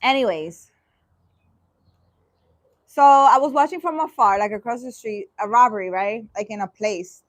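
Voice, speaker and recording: Sarcastic, exasperated woman with a high voice. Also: dry recording. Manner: halting, restarting sentences. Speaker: spiritual vlogger. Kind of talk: reading a dream interpretation aloud and commenting.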